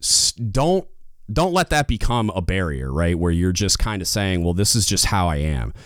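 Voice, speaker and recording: A man with a loud, booming voice giving casual leadership advice as a podcast host, getting louder when fired up. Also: sing-song, moderate pace, no room sound, studio quality. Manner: gets louder when fired up, rambling restarts.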